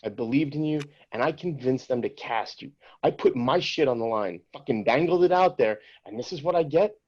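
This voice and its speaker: A man's voice: mid-range voice, animated, a little fast, darkish tone, close to an everyday voice. Guy reading a pissed off swearing showrunner, muffled call audio. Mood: bitter. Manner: profane, bitter, heated. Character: angry bitter male showrunner. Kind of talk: table read acting.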